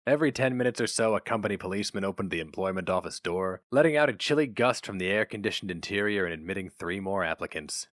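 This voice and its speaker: A man's voice: low-pitched, lively intonation, fast, few pauses, a bit bright, dry recording, clean studio sound. A man reading a short story aloud as dramatic audiobook narration. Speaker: story reader.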